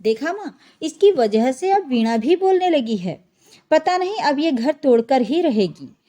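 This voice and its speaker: Woman narrator as elder sister-in-law, resentful, fault-finding, high pitch, audio story. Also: very animated, a little slow, warm tone.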